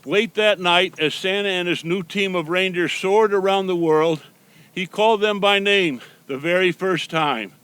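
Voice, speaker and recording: High-pitched man reading a children's storybook. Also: a little slow, a bit bright, clean tone, no echo. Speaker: storybook reader appearing as Santa Claus. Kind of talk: children's storybook read-aloud.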